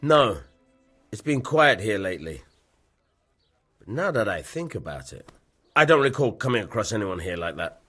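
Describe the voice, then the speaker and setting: Husky medieval town bailiff. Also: male voice, lowish voice, very animated, moderate pace, long pauses, fairly bright tone, soft background music, exaggerated voice. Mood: matter-of-fact. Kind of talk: video game dialogue acting.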